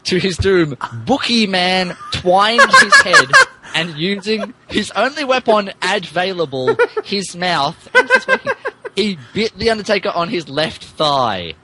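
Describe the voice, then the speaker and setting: Animated, amused man narrating. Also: high-pitched, a little slow, noisy background. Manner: stumbling, amused, emphatic. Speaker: podcast narrator. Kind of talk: mock-dramatic fan fiction narration.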